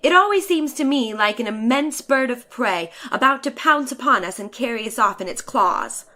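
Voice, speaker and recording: An animated, bright-voiced lady traveler, acted by a woman in a dramatic story reading. She is haughty and bored, drawing out her chatty complaints with exasperated theatrical flourish, her fairly high voice swooping widely. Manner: theatrical, drawn-out, exasperated sighing delivery. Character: bored, haughty, chatty woman letter-writer aboard a futuristic balloon.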